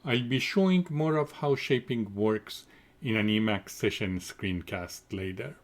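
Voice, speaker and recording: Man, middle-aged, unhurried, formal measured presenter. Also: lowish voice. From Iran. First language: Farsi. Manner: measured, deliberate, formal delivery. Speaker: conference presenter. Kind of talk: scripted technical presentation.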